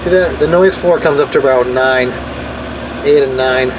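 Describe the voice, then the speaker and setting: A man's voice: mid-range voice, normal speed. A man giving a casual hands-on explainer, informal and thinking aloud as he tinkers. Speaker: hobbyist video maker demonstrating car radio gear. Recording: clear background vehicle and ambient noise. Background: vehicle and ambient noise.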